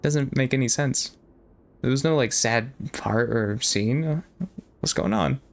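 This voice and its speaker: A man's voice: medium pitch, slow pace, creaky. A man doing casual live stream commentary on a game, talking in a hesitant, rambling way. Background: game music.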